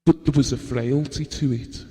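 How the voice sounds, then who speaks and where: A man playing a gentle, grieving husband and bereaved father in an audio drama, speaking tenderly and reflectively. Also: medium pitch, steady tone, moderate pace, lightly acted. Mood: wistful, tender. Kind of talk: intimate audio drama monologue. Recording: long reverberant hall sound.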